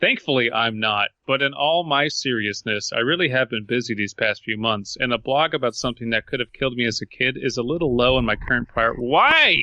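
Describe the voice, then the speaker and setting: Bright-voiced man, mocking, dry lo-fi sound. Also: mid-range voice, expressive, a little fast, hardly any pauses, no echo. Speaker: podcast panelist. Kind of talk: casual commentary and reading aloud.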